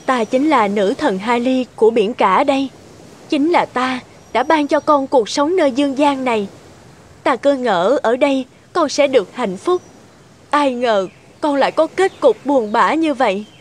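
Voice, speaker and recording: A woman's voice: high-pitched, measured pace, slight background noise. A woman voicing a regal, motherly sea goddess in a cartoon fairy tale, solemn and lamenting. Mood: solemn, caring.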